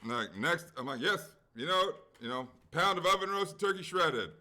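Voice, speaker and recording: Animated man telling a long story. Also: fairly high pitch, moderate pace. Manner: animated storyteller, acts out quoted people, swears freely. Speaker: podcast co-host. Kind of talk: casual chat, long anecdote.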